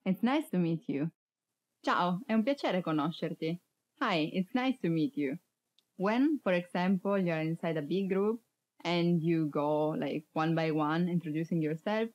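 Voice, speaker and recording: A woman's voice: on the low side, a little mellow, muffled recording. A woman in her early twenties with an Italian accent, a native Italian speaker, teaching a language lesson to camera. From Italy. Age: early 20s. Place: indoors, in front of a flag backdrop.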